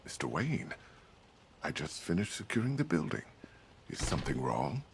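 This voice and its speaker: A man's voice: extremely low pitch, sing-song, normal speed, noisy background, heavily acted. A man playing a courteous, attentive employee in a video game, asking questions politely and with curiosity. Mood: courteous, curious. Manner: courteous, inquisitive. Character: polite, attentive male employee addressing his boss. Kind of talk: video game drama acting.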